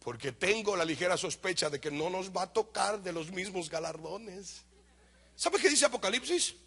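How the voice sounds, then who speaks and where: A man with a Dominican accent preaching a lively sermon to a congregation. He asks questions and answers them himself, and he shouts some points before dropping to a low aside. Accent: Dominican Spanish. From Dominican Republic. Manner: animated, shouts for emphasis, then drops to a low aside. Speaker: pastor.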